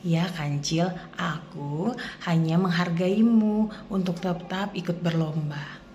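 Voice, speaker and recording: Woman as a slow, earnest fable turtle. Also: fairly low pitch, warm tone, dull audio, a little hiss or hum. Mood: calm, agreeable. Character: calm, humble, steady turtle answering a boastful rival. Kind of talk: children's fable storytelling.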